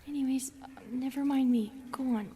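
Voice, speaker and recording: A shy female forest spirit, acted by a woman, high-voiced and soft, with a breathy delivery that often slips toward a whisper. She is gentle and halting, apologizing for herself as she addresses the listener in a fantasy roleplay. Ambient noise sits behind the voice. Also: steady tone, natural voice. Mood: bashful, tender. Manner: hesitant, self-effacing, apologetic, halting.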